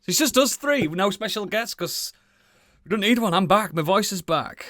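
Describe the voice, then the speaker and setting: Male podcast host, middle-aged, animated and playful, fairly high voice, dry close mic. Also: moderate pace, no echo. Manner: jokey, sweary, playful intros. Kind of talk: casual banter.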